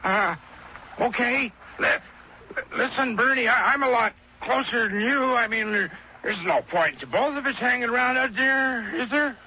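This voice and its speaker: Panicky, high-pitched guilty man at sea. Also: normal speed, bright, forceful tone, breathy edge, heavily acted. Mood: nervous, evasive. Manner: stammering, nervous, muttering to himself. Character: panicky guilty man alone at sea, scheming and self-pitying. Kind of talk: old-time radio drama acting. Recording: dull radio drama recording, heavy noise.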